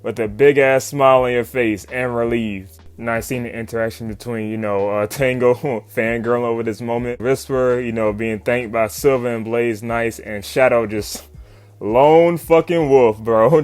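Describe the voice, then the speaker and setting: A man giving a casual, excited comic recap, full of slang, swearing and chuckles. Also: mid-range voice, moderate pace, clean tone, no room sound. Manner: slangy, swearing, often chuckling hype talk. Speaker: YouTube comic commentator. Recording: background music under voice. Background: music.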